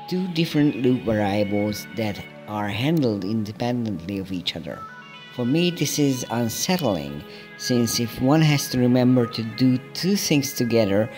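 A man calmly narrating a programming tutorial step by step in a scripted voice-over. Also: medium pitch. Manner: calm, methodical, explaining each step. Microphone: voice-over over screen recording. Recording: soft background music.

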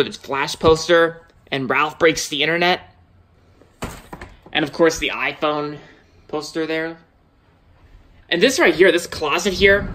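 A man's voice: on the high side, sharp tone. Young man rambling through a house tour. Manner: rambling, with many repeated words and restarts. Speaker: vlogger giving a house tour. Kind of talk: casual house tour narration. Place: indoors in a house, walking from room to room. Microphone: handheld phone camera held by the speaker, off screen.

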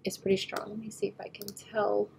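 A woman getting over a head cold, slightly nasal, talking through a photo editing tutorial as she works and often trailing off quietly. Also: medium pitch, level tone, brisk, gravelly, slight background noise. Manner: thinks aloud while working, often trailing off quietly. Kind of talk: screen-recorded editing tutorial.

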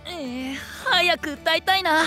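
A bubbly boyish anime singer, really high and bright, eager and bouncy. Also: male voice, a little slow, faint music behind, heavily acted. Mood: excited. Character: cheerful, childlike, bubbly young male band vocalist. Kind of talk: Japanese anime voice drama acting.

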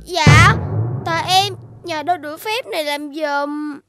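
A woman's voice: sits high, a little slow, pushed and bright, no echo, full character voice. A woman voicing a playful, boastful little boy puppy in a children's cartoon, with a childlike, sometimes whiny delivery. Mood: sheepish. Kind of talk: animated children's cartoon acting. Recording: music behind the voice.

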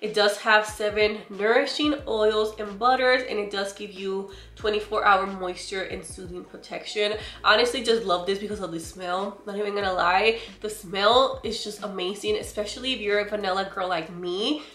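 A young woman with a loud voice, chatting emphatically to the camera from her bedroom as she reviews beauty products and keeps calling her viewers "girl.". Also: medium pitch, steady tone, normal speed, few pauses, reverberant. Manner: chatty and emphatic, often says "girl" and "you guys". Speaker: beauty vlogger. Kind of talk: casual product haul talk to camera. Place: bedroom, indoors.

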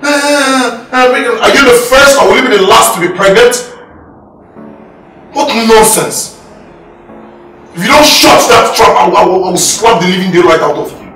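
Volatile young Nigerian man, film drama; highly animated, repetitive, blustering coward. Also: a little fast, roomy sound, soft background music, rough recording. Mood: bullying. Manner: repeats words in frantic bursts. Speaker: actor. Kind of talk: Nollywood film drama acting.

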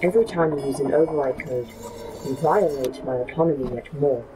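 A woman's voice: very deep voice, a little fast. A woman voicing a formal, politely reproachful artificial intelligence in a sci-fi horror audio drama. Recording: loud background noise.

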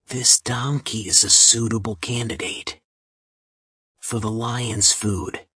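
A woman voicing a deep, sly jackal. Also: steady tone, slow pace, fairly bright tone, no echo, studio quality, full character voice. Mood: scheming. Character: cunning male jackal, scheming and persuasive. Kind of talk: storybook reading.

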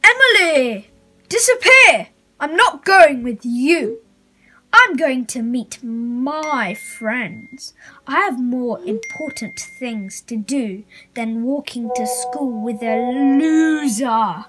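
A woman's voice: high voice, animated, slow pace, background noise. A woman playing a vain, dismissive schoolboy brother in a radio drama, boastful and theatrical. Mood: scornful. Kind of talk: amateur radio drama acting.

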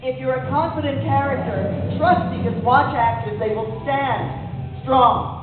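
A middle-aged voice actress with a deep voice for a woman, telling lively stories on a convention panel. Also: measured pace, background noise. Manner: lively, laughing, acts out stances while talking. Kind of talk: animated storytelling panel talk. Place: convention ballroom stage, large indoor hall. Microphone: handheld mic through PA, recorded from the audience. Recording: far, echoey hall, tinny phone-like sound.

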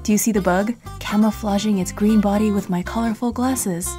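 A woman's voice: mid-range voice, steady tone, normal speed, dark tone. A woman giving cheerful, punny scripted voice-over narration for a food vlog. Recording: music bed under the voice.

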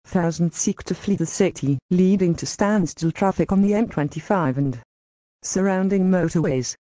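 A woman's voice: lowish voice, moderate pace, mellow, dry recording, lightly acted. A woman playing a sober television newsreader delivering scripted breaking news. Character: sober TV newsreader reporting an attack. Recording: slightly dull, dry recording.

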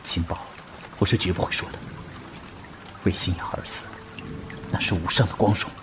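Man acting very deep, resolute undercover officer. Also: steady tone, normal speed, noisy background, close to an everyday voice. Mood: resolute, grave. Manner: grave, restrained, resolute. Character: resolute young undercover Communist officer, calm martyr. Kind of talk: solemn Chinese radio drama acting.

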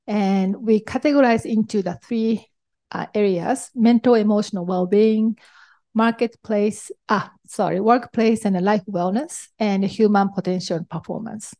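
A woman's voice: mid-range voice, measured pace, mellow. A middle-aged woman with a Japanese accent, presenting to a conference audience as an investor, hesitant with frequent ums and restarts. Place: conference hall.

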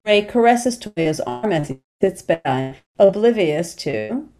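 Female narrator, fairly low voice, reading screenplay directions. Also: big pitch swings, slow, mellow. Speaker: stage directions reader. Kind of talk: scripted narration.

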